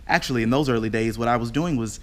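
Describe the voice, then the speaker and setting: A modest male jazz composer acted by a man reminisces in a stage play reading. His voice is medium in pitch and conversational. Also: quick pace, a bit bright, close to an everyday voice. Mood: modest, reflective. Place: theater stage, live reading.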